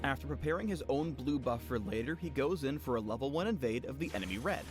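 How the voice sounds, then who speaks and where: Male guide narrator, animated and wry, scripted delivery over background music. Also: mid-range voice, fast. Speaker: narrator of a champion guide video. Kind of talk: scripted upbeat explainer narration.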